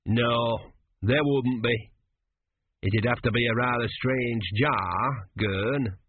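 A man reading an audiobook, voicing a weary, patient veteran male embalmer who talks slowly and matter-of-factly. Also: mid-range voice, slight background noise, lightly acted. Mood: musing. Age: middle-aged. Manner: slow, tired, matter-of-fact. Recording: tinny radio broadcast.

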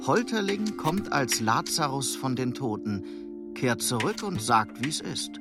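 A man's voice: medium pitch, animated, unhurried. Male narrator, medium register, scripted literary lines, guitar underneath. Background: guitar music.

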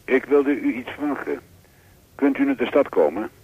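A man's voice: medium pitch, expressive, normal speed, slightly rough. An actor playing an insistent man on a telephone line in a radio play. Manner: pressing, insistent. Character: urgent man calling by telephone.

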